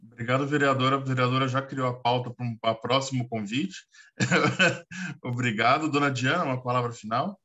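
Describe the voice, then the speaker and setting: Man, radio host, halting speech, mid pitch. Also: pushed and bright, dull audio. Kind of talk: casual radio interview.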